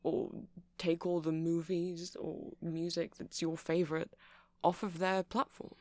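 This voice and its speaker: Nerdy, earnest vampire male performer, soft-spoken and chatty ASMR delivery, gravelly fry, very dry sound. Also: on the high side, flat delivery, a little slow, no room sound. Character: nerdy, chatty vampire boyfriend who rambles about music. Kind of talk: ASMR roleplay ramble.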